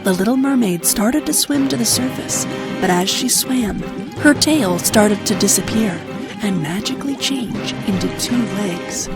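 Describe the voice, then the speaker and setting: A woman giving a scripted narration of a children's fairy tale. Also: medium pitch.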